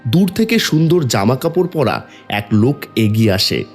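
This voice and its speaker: A man's voice: medium pitch, sing-song, moderate pace. A man narrating a scripted, dramatic horror and devotional story over music.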